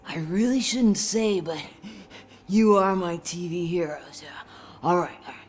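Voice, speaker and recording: A woman's voice: fairly low pitch, unhurried, natural voice. An actress playing a dazed female drug user in a video game, rambling in a spacey, awestruck way. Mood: awestruck, giddy.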